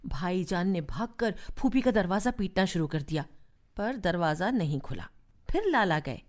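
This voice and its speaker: An actress playing a woman who recounts her family's past in a radio drama, in measured storytelling style. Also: on the low side, animated, natural voice. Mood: reminiscing.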